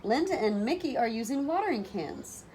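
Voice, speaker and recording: A woman's voice: on the high side, sing-song, moderate pace, darkish tone. A woman voicing a curious young child from a storybook, with an eager, questioning manner. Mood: puzzled, questioning. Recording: noticeable background noise.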